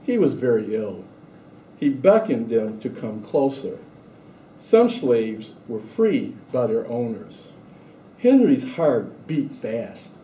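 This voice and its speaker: A man on a school staff reading aloud to children in a measured, deliberate way. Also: mid-range voice, big pitch swings, dark tone. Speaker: school administrator introducing and reading a story. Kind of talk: scripted read-aloud for children. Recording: roomy echo with faint background noise.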